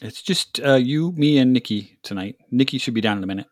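A man plays an office worker worn thin by his coworkers, dry and exasperated. His pitch moves widely. The recording is close and dry. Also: animated, brisk, no room sound, lightly acted. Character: fed-up male office worker who acts. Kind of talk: comedy sketch.

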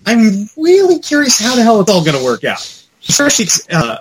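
A man co-hosting a podcast in casual chat about pop culture, talking in an animated, rambling, mildly profane fan style. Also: sits high, a little fast, noisy background. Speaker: podcast co-host.

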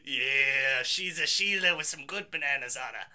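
A man's voice: medium pitch, normal speed, sharp tone, a little hiss or hum. A man doing a put-on Australian accent as a rowdy wildlife showman, bawdy and full of slang. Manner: bawdy, joking, slangy, laughing often.

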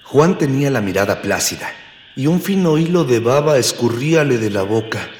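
A man's voice: medium pitch, lively intonation, moderate pace, darkish tone, natural voice. A man playing a grandiose narrator of a pulp space serial, pompous and florid, in mock-heroic radio style. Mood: pompous, dramatic. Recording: echoey long reverb, music behind.

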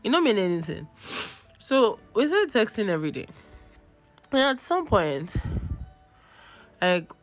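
A young Nigerian woman with a cold, losing her voice, hosting a podcast and telling a story in a chatty, animated way. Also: medium pitch, measured pace, long pauses, faint music behind. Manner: chatty, animated, acts out texts in quotes. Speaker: podcast host. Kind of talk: casual storytelling.

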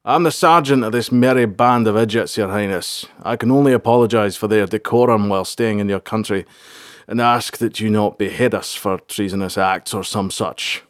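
A man's voice: on the low side, moderate pace, no room sound, clean studio sound, close to an everyday voice. A man voicing a blunt, sardonic sergeant of a band of fantasy soldiers, talking in colloquial speech. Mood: apologetic. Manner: blunt, colloquial, dryly sarcastic.

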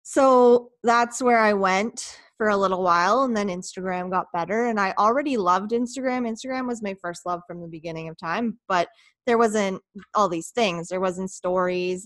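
A woman's voice: medium pitch, moderate pace. Laid-back woman in her late twenties. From Ottawa, Canada. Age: 20s. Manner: relaxed, laughing, lots of "like". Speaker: guest. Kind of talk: casual interview chat.

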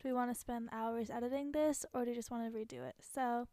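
A woman's voice: fairly high pitch, fairly even intonation, fast talker, mellow, slight background noise. A young woman co-hosting a podcast, talking in a chatty, giggly way with lots of fillers. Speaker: podcast co-host. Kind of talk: casual chat.

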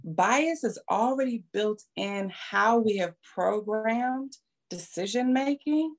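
A woman's voice: on the high side, lively intonation, slow. A middle-aged woman explaining her views at length in conversation, emphatically, with rhetorical questions and checking tags.

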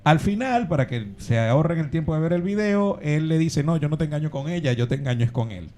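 A man's voice: fairly high pitch, lively intonation, fairly quick, a little mellow. A man from Venezuela with a Venezuelan accent, co-hosting a casual chat in an easygoing way and reading out listener messages. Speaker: co-host.

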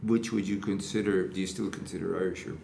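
A man's voice: low voice, fairly even intonation, fast, gravelly, mellow, reverberant, slight background noise. A man interviewing, asking short, probing questions.

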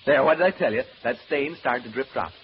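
An actor playing a nervous, rambling radio broadcaster alone in a haunted house, stammering through asides to listeners in an old radio horror play. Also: male voice, medium pitch, expressive, fast, close to an everyday voice. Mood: panicky. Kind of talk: old-time radio horror drama acting. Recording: muffled old radio recording, background hiss.

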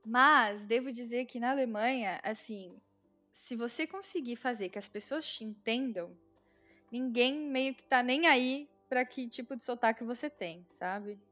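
Brief: Brazilian woman, casual chatty guest, slightly high voice, faint music behind. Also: a little slow, pushed and bright. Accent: Brazilian Portuguese. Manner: chatty, explaining with many fillers. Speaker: guest, Brazilian living in Germany. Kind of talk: casual conversation.

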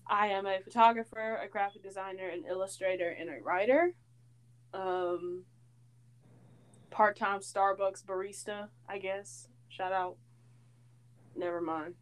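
A woman's voice: medium pitch, measured pace, long pauses. Teasing young woman podcast co-host. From Tennessee. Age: 20s. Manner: teasing, playful, giggly. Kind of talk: casual chat.

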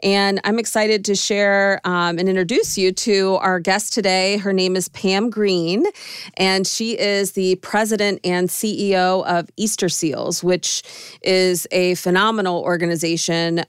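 A woman's voice: on the low side, smooth, no room sound, clean studio sound. A woman hosting a podcast interview in an upbeat, enthusiastic way, with frequent ums. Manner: upbeat and enthusiastic, many fillers. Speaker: podcast host.

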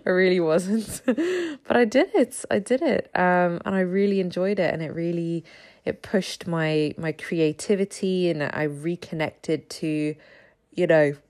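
A woman in her thirties hosting a casual solo podcast, a little raspy from just waking up, chatty with frequent ums and small laughs. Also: lowish voice, a little slow, darkish tone. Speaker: podcast host.

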